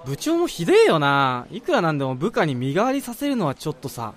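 Senior office colleague, played by a man: informal, blunt, caring, lively intonation, slightly high voice. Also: fast talker. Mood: grumbling, sympathetic. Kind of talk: Japanese radio drama acting.

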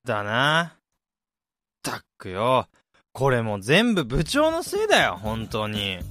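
A man playing a worn-out, whiny salaryman in a radio drama, sighing and grumbling in rough casual speech. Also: mid-range voice, wildly swooping pitch, takes it slowly, sharp tone, no room sound, clean studio sound, heavily acted. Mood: grumbling, bitter. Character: whiny worn-out salaryman sulking over overtime.

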